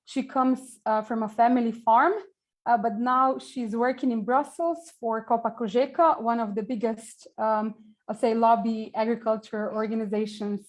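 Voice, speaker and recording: A woman's voice: fairly high pitch, steady tone, normal speed, poor audio. A woman in her thirties with a Slovenian accent, introducing panelists on a video call with frequent hesitations. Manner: hesitant, many ums, searches for words. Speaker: event organizer introducing panelists. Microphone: earphone headset mic on a video call.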